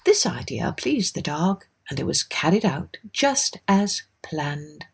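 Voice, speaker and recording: A woman's voice: deep voice, big pitch swings, takes it slowly, vocal fry, slightly rough. A woman narrating a scripted children's fairytale expressively, as the host of a storytelling podcast. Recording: music under the voice.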